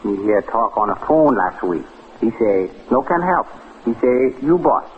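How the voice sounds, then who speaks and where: A man's voice: mid-range voice, sing-song, a little slow. An actor playing a blunt, stubborn, loyal houseman who speaks clipped broken in an old radio drama. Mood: dry, unimpressed. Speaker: servant. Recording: tinny, noisy old radio recording.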